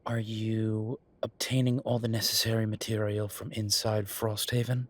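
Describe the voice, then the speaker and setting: A man playing an exasperated, firm werewolf bureaucrat in an audio roleplay, talking emphatically in a dry, husky voice. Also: fairly low pitch, level tone, moderate pace, lightly acted. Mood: patient, insistent. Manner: exasperated, emphatic, repeating himself. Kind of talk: audio roleplay acting, one side of a phone call.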